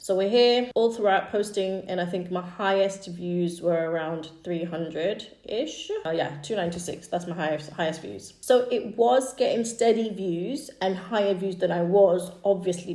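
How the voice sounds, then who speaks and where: A woman in her thirties from East Africa whose first language is Swahili, chatting casually as a YouTuber walking through her own channel history with fillers and quick asides. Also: lowish voice, gravelly, dark tone. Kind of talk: casual screen-share walkthrough. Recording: echoey room.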